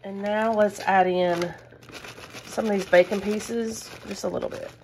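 A woman's voice: mid-range voice, fairly even intonation, a little slow, darkish tone, slight background noise. A woman, chatting casually as she cooks in her home kitchen. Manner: chatty, enthusiastic, says y'all often. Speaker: cooking vlogger. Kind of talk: casual cook-along chat.

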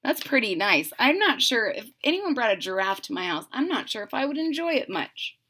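A middle-aged woman reading a children's picture book aloud with deliberate, theatrical phrasing. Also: a little high, sing-song, sharp tone, slightly rough. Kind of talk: expressive children's read-aloud. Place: small room at home.

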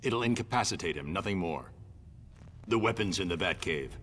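An actor playing a grim, controlled vigilante who leads a resistance, terse and matter-of-fact, in a video game. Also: male voice, deep voice, animated, moderate pace, a bit bright. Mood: grim, measured. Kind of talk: cinematic game dialogue. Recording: long cavernous reverb, faint ambient noise.

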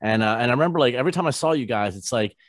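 A man in his forties, a radio host casually interviewing a musician over a video call, with halting questions full of fillers. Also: medium pitch, animated, no echo, studio quality. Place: home studio, posters on wall. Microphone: large studio microphone, webcam.

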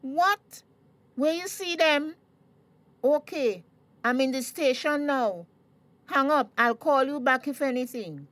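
A woman's voice: high voice, lively intonation, slow pace, pauses often, fairly bright tone, no echo, exaggerated voice. An actress with a Tobagonian accent playing an anxious, fussing middle-aged mother in a radio drama. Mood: flustered.

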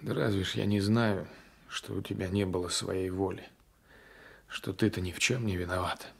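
An actor playing a brooding, passionate gendarme and former lover with a menacing streak, in a radio drama. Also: male voice, low voice, fairly even intonation, moderate pace, vocal fry, husky, heavily acted. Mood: bitter, passionate. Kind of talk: Soviet 1980s radio drama acting.

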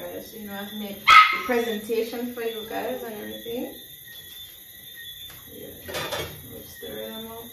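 A woman's voice: mid-range voice, gravelly, far from the mic, heavy background noise. Laid-back Jamaican woman, echoey kitchen. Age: young adult. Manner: relaxed, chatty, laughing often. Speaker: cooking vlogger. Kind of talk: casual cooking vlog chat. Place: bare concrete home kitchen, indoors. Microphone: camera on tripod across the room. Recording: echoey room, voice from afar, loud music. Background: loud background music.

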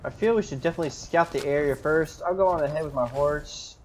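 A man's voice: fairly high pitch, fast, slight background noise, poor audio. A man giving casual role-play commentary over a video game, making laid-back, wry asides in character. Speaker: gamer role-playing his character. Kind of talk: casual roleplay gameplay commentary. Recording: game music and sounds behind. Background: video game audio.

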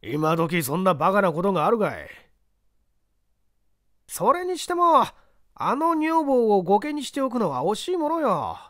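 A man voicing rough rustic construction laborers in a dramatic audiobook reading, with blunt colloquial speech. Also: high-pitched, huge pitch swings, unhurried, pauses often, fairly bright tone, dry recording, clean studio sound, voice pushed up, full character voice. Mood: musing. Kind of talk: dramatic audiobook character acting.

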